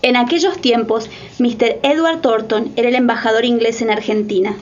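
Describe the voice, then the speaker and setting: A woman's voice: a little high, fairly even intonation, normal speed, muffled recording. A woman narrator reading a scripted dramatic documentary account.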